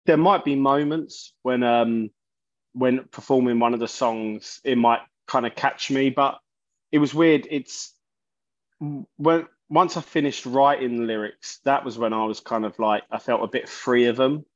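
A man, a musician guest in an interview, talking haltingly and often restarting his sentences. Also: mid-range voice. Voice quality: loud voice. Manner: halting, searching for words, many restarts. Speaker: musician guest, bassist and singer. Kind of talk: casual interview talk. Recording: dull lo-fi sound.

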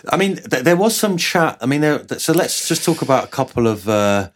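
A man hosting a casual boxing podcast, his voice hoarse from a sore throat and fading, joking at his own expense. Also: a little high, big pitch swings, a little fast. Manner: self-mocking, joking. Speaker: podcast host.

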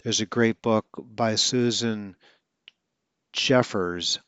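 A man with a lowish voice talks as a speech coach, earnest and plain, now and then catching on a sound and restarting a word. The recording is dry and close with a dull, muffled tone. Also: slow pace, fairly bright tone, clean tone, dry recording. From Atlanta, Georgia. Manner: earnest, with occasional stutters and self-corrections. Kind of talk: casual talk.

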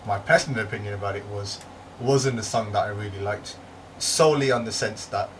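A man's voice: lowish voice, brisk. A man co-hosting a casual tech podcast, laid-back, often stumbling and restarting his sentences. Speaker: podcast co-host. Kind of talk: casual chat. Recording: distant mic with faint noise.

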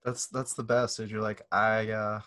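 A man co-hosting a podcast, chatting casually and joking, often slipping into quick made-up quotes. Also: lowish voice, flat delivery, bright, forceful tone. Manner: joking, acts out quick silly voices. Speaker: podcast co-host. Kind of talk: casual chat.

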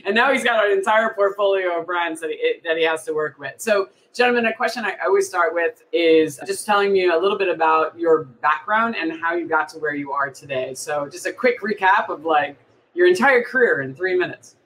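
A woman's voice: fairly low pitch, brisk, fairly bright tone, slight background noise, poor audio. A woman in her forties hosts an interview podcast over a video call. She keeps things upbeat, laughing often as she guides her guests. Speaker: podcast host. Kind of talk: interview hosting.

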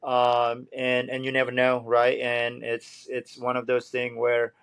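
A man's voice: medium pitch, completely flat delivery, a little slow, fairly bright tone, smooth, no echo. A man in his thirties from Indonesia, speaking, is a swim coach guest telling his story earnestly and searching for words. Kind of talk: casual interview.

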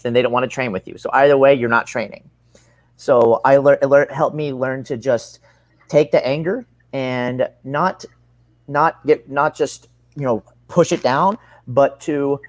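A man's voice: medium pitch, no room sound. A young man giving casual interview answers as a combat sports athlete, earnest and rambling, often rephrasing himself.

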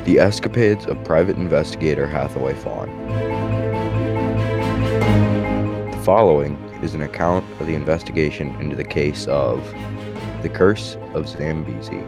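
Old-time radio mystery narrator played by a man: extremely deep, grave, scripted delivery over prominent music. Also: a little fast. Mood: announcing.

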